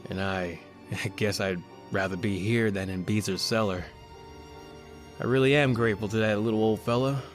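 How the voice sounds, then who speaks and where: A man's voice: on the low side, fairly even intonation, normal speed, soft background music, lightly acted. A man acting a desperate young criminal who tells his own story in a horror radio play. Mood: rueful, resigned.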